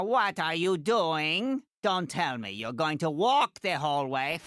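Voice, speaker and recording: Game role: haughty little middle-aged woman designer, theatrical, wildly animated pitch, sharp bright tone. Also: clear, resonant tone, dry recording, studio quality, exaggerated voice. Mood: goading, impatient. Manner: haughty, dramatic, clipped delivery with affectionate condescension. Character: tiny, imperious, theatrical middle-aged female fashion designer for superheroes. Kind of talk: video game character voice lines.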